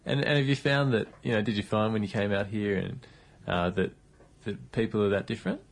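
Man, very deep voice, radio host, rambling questions. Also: fairly even intonation, fairly quick. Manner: rambling, hedging questions full of "you know". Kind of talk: radio interview.

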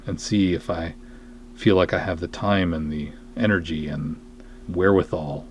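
A man's voice: low voice, steady tone, moderate pace, slight background noise. A man hosting a podcast in a casual monologue, rambling along in a self-deprecating way. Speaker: podcast host, film writer and director.

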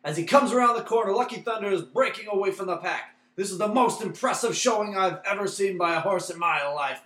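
Guy doing excited horse race announcer, radio play, high n bright voice, kinda echoey. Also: brisk. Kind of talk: old-time sports commentary in a radio play. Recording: roomy, echoing recording.

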